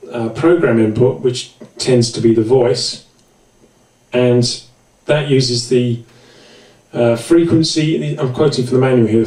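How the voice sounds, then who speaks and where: A man's voice: mid-range voice, a little mellow. A man presenting an informal, explanatory music gear tutorial with hesitant, self-deprecating asides. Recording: roomy, echoey, distant mic.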